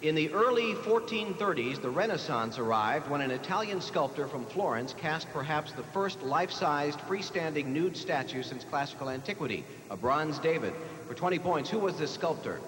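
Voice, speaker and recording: A man's voice: fairly high pitch, lively intonation, normal speed, hardly any pauses, fairly bright tone. A man with an American accent hosting a live quiz show, reading out questions to the players. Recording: echoey hall, noisy old TV broadcast.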